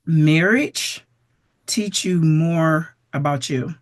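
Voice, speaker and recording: A woman's voice: low-pitched, extremely slow. Enthusiastic woman interview host. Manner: enthusiastic, affirming, many encouraging interjections. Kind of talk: casual interview conversation.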